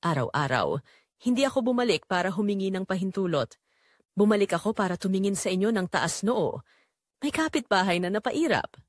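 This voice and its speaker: A woman's voice: medium pitch, big pitch swings, brisk, no room sound, clean studio sound. A woman with a Filipino accent narrating a scripted first-person drama in an earnest, emotive way.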